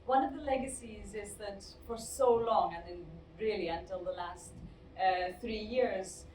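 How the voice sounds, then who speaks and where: A woman moderating a panel discussion, measured and frank in her remarks. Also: mid-range voice, darkish tone. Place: lecture hall. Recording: roomy, distant, noisy hall.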